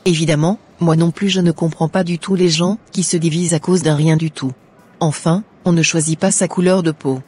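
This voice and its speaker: A woman's voice: on the low side, steady tone, brisk, a little mellow, breathy edge, faint music behind. A woman voicing a polite, earnest schoolgirl daughter in a cartoon, with a scripted delivery. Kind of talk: animated cartoon dialogue.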